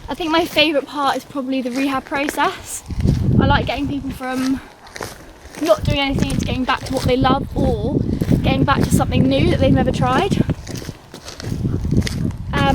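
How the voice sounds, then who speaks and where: A chatty young woman from England with a high voice talks casually, full of ums and little laughs at herself. She is walking outdoors in a forest, recorded on a phone with loud background noise all around her. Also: steady tone, moderate pace. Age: 20s. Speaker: vlogger. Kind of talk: casual chat while walking.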